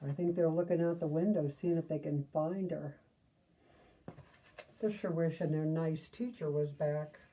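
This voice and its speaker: A woman reading a children's picture book aloud, adding gentle asides to young listeners. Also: sits low, steady tone, unhurried, far from the mic, rough recording. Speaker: storybook reader.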